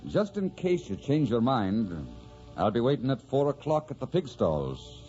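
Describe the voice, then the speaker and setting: An actor playing a tender, wistful Irish rebel who dreams of farm life, speaking with an Irish lilt in old-time radio drama style. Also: male voice, mid-range voice, sing-song, measured pace. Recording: dull vintage radio recording with music.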